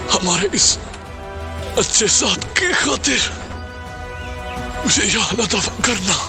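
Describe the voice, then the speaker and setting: A man's voice: high voice, animated, slow pace, full character voice. An actor playing a loyal, deferential male servant on a refugee trek in an audio drama. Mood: dying. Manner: deferential, addresses his leader respectfully. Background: music score.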